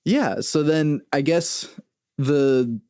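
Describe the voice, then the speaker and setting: A man's voice: a little high, extremely slow, darkish tone, clean tone, no echo, clean studio sound. A man from Venezuela who moved to the US as a child, hosting a casual interview with loosely phrased, informal questions. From born in Venezuela, moved to the US at six. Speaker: podcast host, interviewer.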